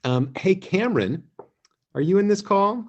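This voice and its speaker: A man hosting a live theater company show on a video call, speaking appreciatively in a halting way and making announcements. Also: sits high, expressive, lo-fi sound.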